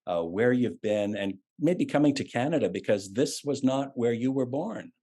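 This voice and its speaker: A man's voice: medium pitch, animated, normal speed, dark tone, slightly rough. A man hosting an online ministry event, introducing and interviewing a guest in a friendly, easygoing way. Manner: friendly, easygoing, with frequent "uh" fillers.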